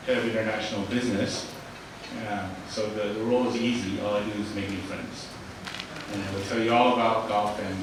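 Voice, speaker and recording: Man born in Thailand, raised in the US, low voice, friendly, echoey hall from far off. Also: noisy background. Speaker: panelist, head of international business. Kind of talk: self-introduction on a panel.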